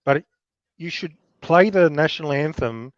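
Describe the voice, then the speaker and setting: A man's voice: a little high, expressive, measured pace, dry recording. A man giving his opinion in a casual boxing chat, matter-of-fact and swearing. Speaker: panelist. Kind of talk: casual debate. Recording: dull lo-fi line.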